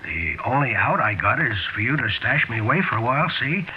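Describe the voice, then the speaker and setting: An actor playing a sly, chuckling, quietly menacing fugitive crook in a 1940s radio drama, with ungrammatical American street slang. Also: male voice, mid-range voice, sing-song, brisk, a little hiss or hum, natural voice. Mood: coaxing menace. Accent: American, urban street slang. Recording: tinny, band-limited 1940s radio recording.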